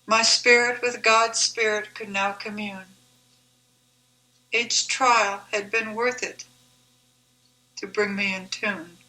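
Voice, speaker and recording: A woman reciting her own devotional poem in a measured, deliberate, reverent manner. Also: on the high side, sharp tone, poor audio. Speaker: author reading own poem.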